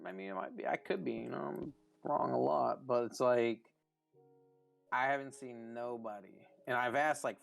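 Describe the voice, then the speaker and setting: A man's voice: mid-range voice, normal speed, gravelly, soft background music. A man from California with a Californian accent, chatting casually with a gaming friend in a rambling, hesitant way. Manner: rambling, hesitant, lots of "dude" and "like". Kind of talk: casual gamer chat.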